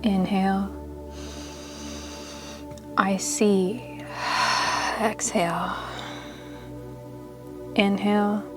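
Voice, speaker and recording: A woman leading a guided meditation in a soothing, measured, unhurried manner, pausing between short phrases of instruction. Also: medium pitch, level tone. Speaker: yoga nidra meditation guide. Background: meditation music.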